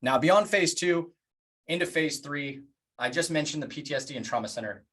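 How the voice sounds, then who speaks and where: A man presenting plans in a public address, speaking earnestly in deliberate phrases with emphatic pauses. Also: fairly high pitch, fairly bright tone, slightly rough. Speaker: organization leader presenting plans.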